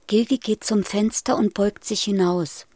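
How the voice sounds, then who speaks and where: An actress playing a somber chorus narrator, speaking sparse fragments in a literary radio drama. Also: female voice, medium pitch, fairly even intonation, measured pace, dark tone, no echo, lightly acted.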